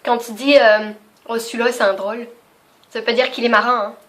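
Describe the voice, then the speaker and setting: A young woman chatting spontaneously in a casual vlog, laughing often and filling pauses. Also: on the high side, steady tone, fairly bright tone, low-quality recording. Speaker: vlogger. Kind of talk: casual unscripted video chat.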